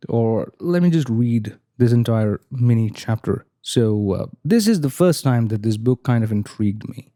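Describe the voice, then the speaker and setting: A man speaking as an amateur reader, with deliberate, theatrical emphasis and drawn-out phrases. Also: medium pitch, lively intonation, mellow, no room sound, studio quality. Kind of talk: casual talk and dramatic audiobook-style reading.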